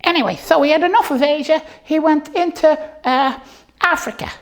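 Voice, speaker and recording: A woman's voice: high-pitched, normal speed, natural voice. A woman voicing a bossy, childlike know-it-all puppet who rambles through muddled stories in an improvised comic show. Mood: storytelling.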